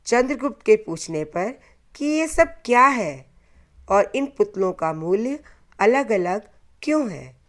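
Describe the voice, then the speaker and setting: A woman storyteller narrating a scripted moral folktale in short, deliberate phrases. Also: medium pitch, sing-song.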